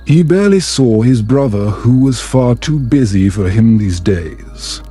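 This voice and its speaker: A man narrating a story in a calm, soft, soothing and measured way, meant for bedtime. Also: medium pitch, faint music behind.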